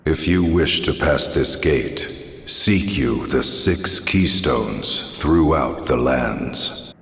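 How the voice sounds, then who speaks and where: A man voicing an ancient, stern gatekeeper spirit in a video game, solemn, imperious and gravelly, with archaic phrasing. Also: a bass voice, extremely slow, fairly bright tone, faint music behind, exaggerated voice. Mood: solemn, commanding. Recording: long cavernous reverb.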